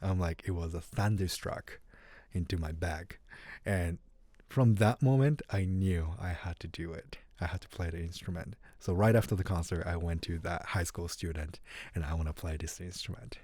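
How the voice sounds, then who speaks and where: A man with a Japanese accent, whose first language is Japanese, telling his story as a guest in a casual interview in a relaxed, unhurried way. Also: low-pitched, no room sound. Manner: relaxed, unhurried, light grammar slips. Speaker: guest musician.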